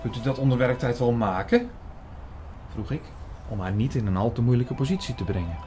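A man narrating a radio play in the first person, measured and literary in his storytelling. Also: mid-range voice, music in the background. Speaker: narrator and main character.

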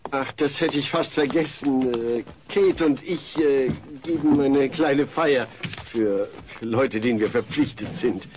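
A man's voice: medium pitch, a little slow, a little mellow, natural voice, low-quality recording. A man playing an awkward middle-aged family man in a comedy audio play, polite and hesitant. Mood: flustered.

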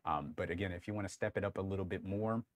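Deep-voiced man reviewing gear casually. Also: fairly even intonation, quick pace, dry recording. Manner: rambling, frequent fillers. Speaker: tech reviewer. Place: small room lit with colored lights. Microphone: lapel mic.

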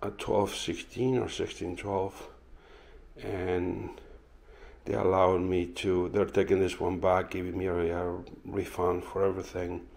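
A man's voice: low-pitched, unhurried, vocal fry. A man giving a casual walking tour of his backyard garden and workshop, talking loosely with many fillers while holding the camera. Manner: rambling, filler-heavy, relaxed. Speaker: woodworking channel owner. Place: backyard garden outdoors, then indoor workshop. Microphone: handheld camera held by the speaker.

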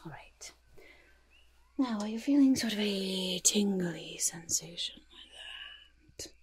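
A young woman voicing a fussy, sly, reassuring goblin in a soft-spoken ASMR roleplay, breathy and whispery, repeating soothing little phrases close to the microphone. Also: medium pitch, lively intonation, slow, a little hiss or hum. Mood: soothing, careful. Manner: speaks of self in third person, repetitive soothing patter.

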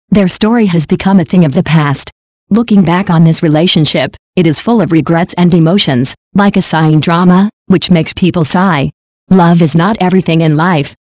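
A narrator reading a scripted celebrity news story in a flat, machine-like delivery. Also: medium pitch, dry recording.

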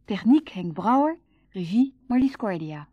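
A woman's voice: mid-range voice, big pitch swings, unhurried, dry recording. A woman reading scripted credits as a radio drama announcer. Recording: dull old radio broadcast recording. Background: music.

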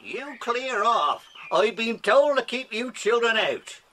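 Jumpy, high-pitched, grumpy watchman. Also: big pitch swings, slow pace, sharp tone, faint music behind, exaggerated voice. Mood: frightened, cross. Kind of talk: children's audio drama acting. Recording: hissy 1990s cassette tape.

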